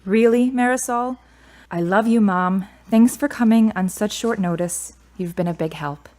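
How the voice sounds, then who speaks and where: Radio drama daughter, played by a woman: bereaved, curt, self-reliant, mid-pitched. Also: normal speed, a little mellow, close to an everyday voice. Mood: wry, affectionate.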